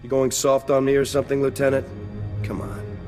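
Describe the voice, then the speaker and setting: An actor playing a cocky, defiant trooper sergeant with a blunt, dry manner in a game cutscene. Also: male voice, medium pitch, monotone, brisk, darkish tone, soft background music, close to an everyday voice. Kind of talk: video game cutscene acting.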